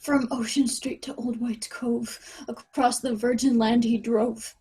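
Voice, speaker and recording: Woman playing high-voiced desperate, distraught mother. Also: level tone, a little slow, warm tone, slight background noise. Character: desperate, grief-stricken young mother fighting an unkillable killer. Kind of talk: screenplay table read.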